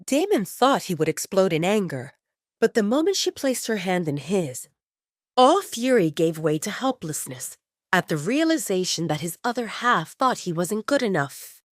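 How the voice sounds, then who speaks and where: A woman's voice: medium pitch, sing-song, moderate pace, dry recording, clean studio sound. A woman reading scripted romance fiction as the narrator of an audio drama.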